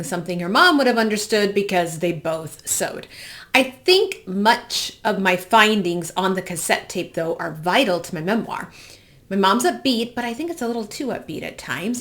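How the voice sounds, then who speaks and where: A woman's voice: medium pitch, fairly bright tone. An American woman in her forties from the Midwest, hosting with a personal, reflective monologue. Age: 40s. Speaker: host.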